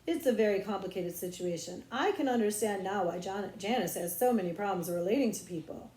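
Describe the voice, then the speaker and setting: A woman voices an earnest, knowing schoolgirl in a storybook reading. The delivery is precise and confiding, at a middle pitch, with audible room echo. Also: expressive, fairly quick, mellow, natural voice. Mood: grave, knowing. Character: earnest, knowing young schoolgirl who relishes secrets. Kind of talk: audiobook character voice.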